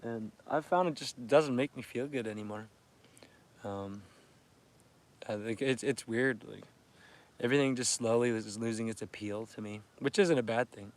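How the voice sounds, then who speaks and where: A man's voice: medium pitch, normal speed. Tired Canadian man chatting outdoors. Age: 30s. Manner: chatty, laughs often, keeps voice down. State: tired from little sleep. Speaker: fishing livestreamer. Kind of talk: casual live chat to viewers. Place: outdoors by a lake near a campsite at dawn. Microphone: phone livestream.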